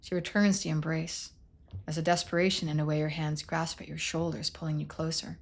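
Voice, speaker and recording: A woman's voice: low-pitched, little pitch movement, fairly quick. A woman game streamer, moving between casual gameplay commentary and reading out story narration.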